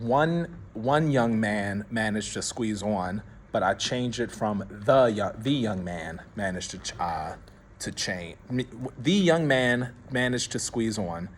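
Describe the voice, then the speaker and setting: Guy going over script notes at rehearsal, halting, keeps restarting, noisy room. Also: fairly low pitch. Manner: casual, halting, with many restarts. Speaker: director and playwright. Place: theater.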